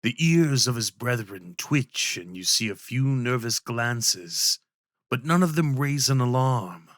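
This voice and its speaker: A man narrates a fantasy tale for an ASMR roleplay. His delivery is very unhurried and deliberate, at a medium pitch. The sound is dry, with no room around it. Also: animated, fairly bright tone, dry recording.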